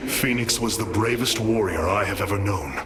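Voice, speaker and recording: A man's voice: lowish voice, moderate pace, very noisy, lightly acted. A man playing a noble alien military leader in an epic video game cutscene, formal and oratorical. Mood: warm pride. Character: noble, commanding male alien war leader.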